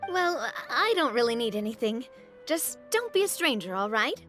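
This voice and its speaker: A woman's voice: fairly high pitch, big pitch swings, moderate pace, a bit bright. A woman playing a spirited, generous young lady boss in a video game, breezy and sociable. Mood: friendly, teasing. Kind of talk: video game character acting. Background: background music.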